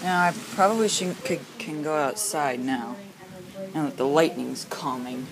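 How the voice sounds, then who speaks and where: An excitable amateur storm watcher filming and commenting on the weather in exclamations, often repeating words for emphasis. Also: medium pitch, measured pace, a crowd in the background, rough recording. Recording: home video with rain noise behind. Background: heavy rain.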